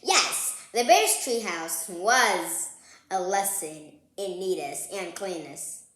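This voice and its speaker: A woman reading a children's picture book aloud, playful and expressive, chatting to young listeners between lines. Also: lowish voice, slow. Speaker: read-aloud host. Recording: roomy, echoing sound.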